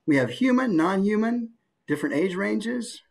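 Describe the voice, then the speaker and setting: A man's voice: sits high, lively intonation, normal speed, gravelly, darkish tone. A man leading an online class as an informal, encouraging instructor. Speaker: voice acting workshop presenter. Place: online video call.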